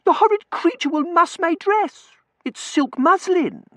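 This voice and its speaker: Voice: mid-range voice, sing-song, a little slow, a bit bright, no room sound, pitched above the performer's own voice. A narrator voicing a spoiled, affected young lady who coos in fluttery, prissy baby talk in a storybook reading. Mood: squealing, indignant.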